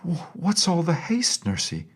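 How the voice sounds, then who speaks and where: An eager little princess from a storybook, as a male narrator plays her, chattering happily in exclamations and questions. The voice is lifted well up in pitch and has a slightly husky edge. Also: wildly swooping pitch, unhurried, full character voice. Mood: puzzled, chattering. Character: excited, chattering, happy little princess girl. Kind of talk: storybook character voice.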